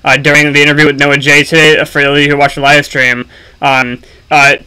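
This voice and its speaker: A man giving casual, unscripted gaming commentary for a video, speaking haltingly with frequent ums and pauses. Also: a little high, level tone, bright, forceful tone, clear, resonant tone, poor audio.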